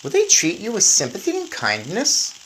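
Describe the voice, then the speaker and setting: A woman's voice: medium pitch, wildly swooping pitch, moderate pace, a little hiss or hum, voice pushed up. A woman voicing a sensible young boy turned mouse in a storybook, earnestly explaining and coaxing. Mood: practical.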